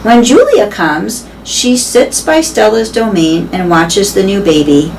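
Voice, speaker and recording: A woman's voice: mid-range voice, lively intonation, unhurried, darkish tone, far from the mic, poor audio. A woman reading a children's story aloud in a storytelling style. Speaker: reader of a children's novel. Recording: clear background noise.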